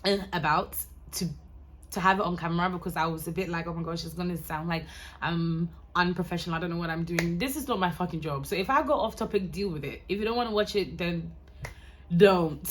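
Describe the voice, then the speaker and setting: A woman's voice: on the low side, fairly quick, a little hiss or hum. A woman in her twenties talking casually to camera, rambling, going off topic, swearing and laughing often. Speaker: vlogger.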